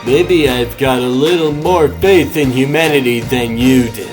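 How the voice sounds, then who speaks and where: Young male superhero, played by a man: defiant, idealistic, measured slow challenge, middle register, dramatic score underneath. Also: mid-range voice, natural voice. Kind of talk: dramatic comic dub acting. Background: dramatic music.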